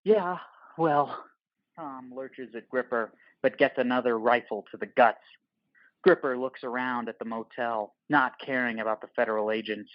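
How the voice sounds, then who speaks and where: Narrator for audio play: male, mid-pitched, terse and clipped, scripted present-tense delivery, very dry room. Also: flat delivery, moderate pace, dry recording, lo-fi sound.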